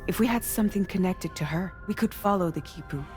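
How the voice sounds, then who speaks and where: A woman's voice: on the low side, fast talker, over music, lightly acted. An actress playing a resolute, urgent woman leader in a video game, speaking in a firm, earnest, dramatic way. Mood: urgent realization. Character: determined adult female leader, resolute and urgent. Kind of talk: video game cutscene dialogue.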